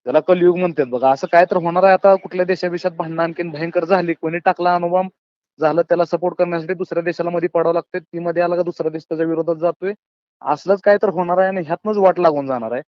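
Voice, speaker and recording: A man's voice: high voice, steady tone, fast, dry recording. A man with a rural Maharashtrian accent chatting on a phone call, holding forth earnestly in colloquial, philosophising style. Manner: colloquial, earnest, philosophising, often addresses listener as brother. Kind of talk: casual phone chat, opinionated monologue. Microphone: phone call, close and dry. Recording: dull lo-fi phone call recording.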